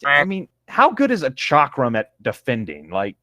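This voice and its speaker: Male co-host for a fan fiction reading show, lively pitch swings, casual riffing, close dry recording. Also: normal speed, no room sound. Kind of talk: casual riffing and read-aloud narration.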